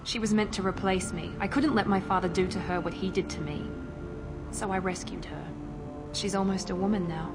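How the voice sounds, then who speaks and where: An actress playing a cool, guarded female operative in a video game, speaking in a crisp, controlled way. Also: mid-range voice, steady tone, brisk, lightly acted. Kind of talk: video game cutscene dialogue. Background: game score music.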